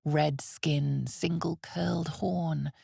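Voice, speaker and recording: A woman playing an ominous, knowing narrator of a dark fantasy video game, speaking in a measured, dramatic, hushed way. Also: deep voice, fairly even intonation, gravelly, breathy edge, no echo, clean studio sound, full character voice.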